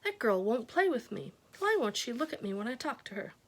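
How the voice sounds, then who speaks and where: A woman's voice: a little high, big pitch swings, fairly quick. A woman reading aloud, voicing a curious, eager young boy in a children's storybook. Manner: eager, questioning.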